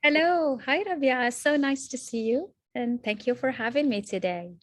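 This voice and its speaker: A woman, answering questions as an interview guest in a reflective, measured way over a video call. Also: sits high, dry recording. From Morocco. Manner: reflective, measured, with frequent restarts.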